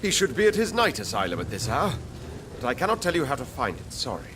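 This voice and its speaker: A man's voice: medium pitch, huge pitch swings, fast, fairly bright tone, a little hiss or hum. An actor playing an earnest, jittery night patrolman and vampire hunter in a video game, with a theatrical manner. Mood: guarded, confiding. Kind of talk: video game dialogue acting. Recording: game dialogue with music behind.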